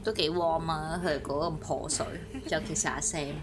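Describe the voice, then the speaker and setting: A woman's voice: low voice, fairly even intonation, normal speed. Playful woman streamer, Hong Kong Cantonese accent. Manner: playful, teasing, chatty. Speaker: game streamer. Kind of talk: casual livestream game reaction commentary. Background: game music and game audio.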